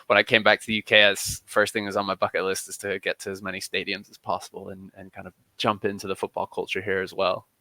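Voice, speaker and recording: A man's voice: sits low, little pitch movement, quick pace, hardly any pauses, sharp tone, dry recording. A man from Kenya answering questions as a podcast guest, chatty, informal and a little self-deprecating. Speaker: podcast guest, football journalist. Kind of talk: casual, conversational interview answers.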